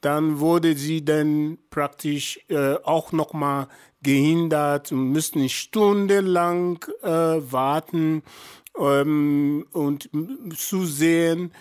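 Slow, halting man in his fifties, from Nigeria. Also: on the high side, steady tone, a little mellow, clear, resonant tone. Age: 50s. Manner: halting, many fillers and restarts. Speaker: guest, journalist and activist. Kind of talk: podcast interview answers.

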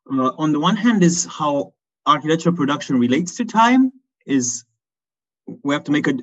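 A man presenting at an academic conference, speaking haltingly with many restarts and fillers. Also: mid-range voice. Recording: dull lo-fi recording.

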